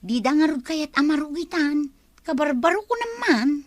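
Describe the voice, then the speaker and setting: An actress with an Ilocano accent playing a plain-spoken fish-vendor sister in a comedy audio drama, reproachful, teasing and expressive. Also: female voice, high voice, normal speed, no echo. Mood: chatty. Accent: Ilocano, Philippines.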